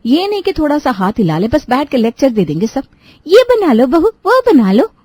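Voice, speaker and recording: An overworked young daughter-in-law in an animated family tale, acted by a woman storyteller. She speaks higher, with a resentful, complaining edge and a defensive manner. The sound is dry and close. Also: normal speed, no room sound. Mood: sarcastic grumbling. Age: young adult. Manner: complaining, exasperated. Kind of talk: Hindi cartoon story dialogue.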